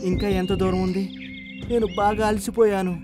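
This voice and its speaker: Man acting an indignant bull, rather high-voiced. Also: level tone, brisk, loud music behind the voice, lightly acted. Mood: doubtful, alarmed. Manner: earnest, indignant. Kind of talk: cartoon moral story voice acting.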